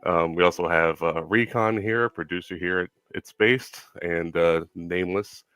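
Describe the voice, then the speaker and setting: A young man hosting a casual Q&A stream, speaking in a relaxed way with many ums and filler words. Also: low-pitched, moderate pace, smooth, no echo. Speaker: stream host.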